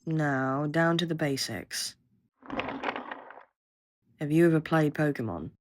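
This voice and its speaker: A woman's voice: deep voice, takes it slowly, noisy background. A woman playing a curt, condescending government researcher who briefs a recruit with blunt, sardonic impatience in an audio roleplay. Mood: impatient explaining. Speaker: researcher guiding a new recruit. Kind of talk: audio roleplay acting, addressed to listener.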